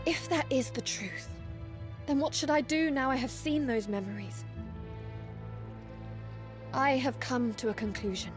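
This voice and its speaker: A woman voicing a dutiful young warrior maiden in a video game, speaking formally and earnestly in a measured way. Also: sits high, music in the background. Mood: earnest, troubled. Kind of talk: video game cutscene acting.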